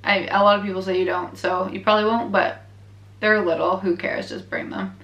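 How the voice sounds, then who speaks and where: Chatty Canadian woman, bright echoey voice. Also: mid-range voice, fairly even intonation, fairly quick, muffled recording, a little hiss or hum. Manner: chatty, candid, laughs often, lots of fillers. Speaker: vlogger. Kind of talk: casual chat, reacting to her own earlier video. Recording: echoey room.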